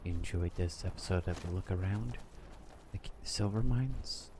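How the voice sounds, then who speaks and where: A man giving soft-spoken ASMR gameplay commentary as a video host, hushed and often close to whispering. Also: low voice, normal speed, noisy background. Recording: loud game ambience behind voice. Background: video game ambience.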